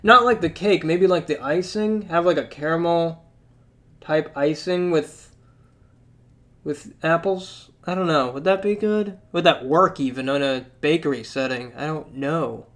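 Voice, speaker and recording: A man's voice: fairly high pitch, unhurried, a bit bright. Rambling young man losing his voice. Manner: rambling, chatty, many fillers. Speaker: mobile game commentator. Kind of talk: casual rambling commentary.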